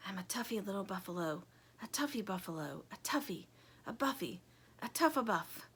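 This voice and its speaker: A woman's voice: high-pitched, expressive, normal speed, bright, forceful tone, pitched above the performer's own voice. A woman voicing a little male toy buffalo in a storybook, earnestly trying to sound tough and brave. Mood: nervous whispering. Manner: earnest, self-encouraging, stumbling over words.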